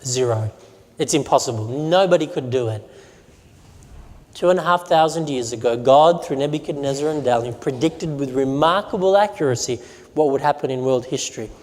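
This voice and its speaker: A man's voice: medium pitch, normal speed, darkish tone. A man from Romania, a pastor, giving a sermon presentation, telling stories and asking the audience questions. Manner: storytelling, asks the audience questions. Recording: long hall reverb.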